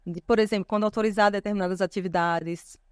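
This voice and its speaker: A woman with a Pernambuco accent speaks in a smooth, clear voice. She explains her points thoughtfully and often tags her phrases with "né". The sound is close and dry. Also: mid-range voice, steady tone, fast talker, no echo. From Recife, Pernambuco, Brazil. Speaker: guest. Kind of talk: casual interview chat.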